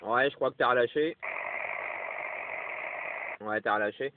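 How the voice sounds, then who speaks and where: A man's voice: mid-range voice, a little slow, noisy background. Man chatting over tinny two-way radio. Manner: rambling, tacks small tags onto phrases. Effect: CB radio transmission. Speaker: radio amateur operator. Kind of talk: casual two-way radio chat.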